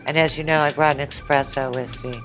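A woman with a distinctly low-pitched voice speaks as a podcast guest. Her talk is casual and conversational, and soft music plays faintly behind it. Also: fairly even intonation, fast, poor audio. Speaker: podcast guest, university lecturer.

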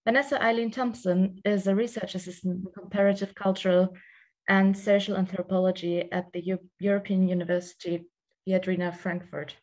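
Give me a young woman moderating a video call panel, speaking through a headset and pausing often. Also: mid-range voice, fairly even intonation, a little mellow, low-quality recording. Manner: halting, with fillers. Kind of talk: introducing speakers.

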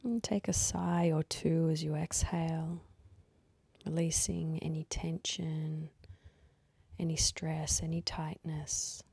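A woman leading a guided meditation in a soft, husky, breathy voice, speaking calmly and gently with unhurried pauses. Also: sits low, no room sound. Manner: calm, gentle, unhurried instructing with long pauses.

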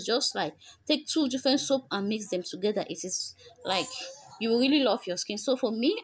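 A woman's voice: on the high side, no room sound. A young woman talks viewers through a homemade recipe in a loose, chatty way, often calling out to them as guys. She records herself at home on a phone with no separate microphone. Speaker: beauty vlogger. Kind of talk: casual how-to vlog. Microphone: phone camera, no microphone.

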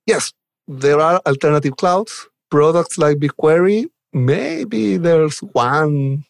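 A man's voice: fairly high pitch, expressive, takes it slowly, vocal fry, no room sound. A man, chatting informally and enthusiastically as an interview guest. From Chile. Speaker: podcast guest, software developer advocate. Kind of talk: conversational interview answers.

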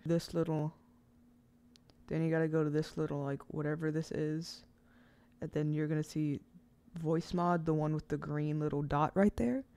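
A casual, rambling speaker hosting an informal step-by-step software tutorial, recorded close to the mic as a voice-over. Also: medium pitch, no echo. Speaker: tutorial host.

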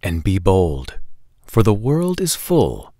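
A man in his forties hosting a children's storytime, reading aloud in an expressive, deliberate, sing-song way. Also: low-pitched, dry recording. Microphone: lapel microphone.

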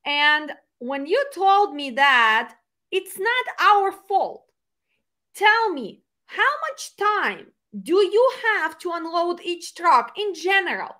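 Non-native english speaking lady host, high bright voice, lively, webcam from home. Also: slow. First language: not English. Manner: lively, emphatic, animated hand gestures. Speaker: host and dispatch trainer. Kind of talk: conversational interview. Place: home room indoors. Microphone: video call webcam.

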